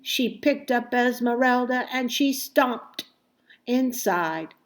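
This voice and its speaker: A woman reading a children's story aloud expressively, with deliberate phrasing and emphatic stressed words. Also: a little high. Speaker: storybook reader.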